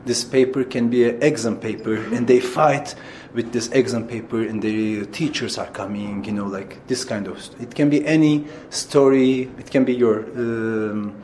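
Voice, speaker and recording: A theatre director from Turkey speaking Turkish-accented English in an interview, halting and searching for words with many fillers and restarts. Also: male voice, medium pitch, fairly even intonation, darkish tone, slight background noise. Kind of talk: interview answers.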